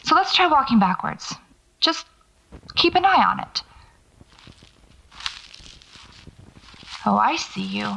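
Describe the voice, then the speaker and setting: A woman's voice: fairly high pitch, sing-song, normal speed, gravelly, sharp tone, slight background noise, full character voice, low-quality recording. A woman acting as a wry, stubborn paranormal investigator in an audio drama, talking to herself as she records field notes. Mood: defiant. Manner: muttering to herself, sardonic, self-coaching.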